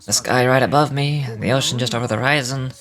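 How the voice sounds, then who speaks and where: A man's voice: medium pitch, steady tone, fast talker, a bit bright, lightly acted. Man playing a plainspoken, weary working family man. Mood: glum, hesitant. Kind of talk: scripted game character dialogue.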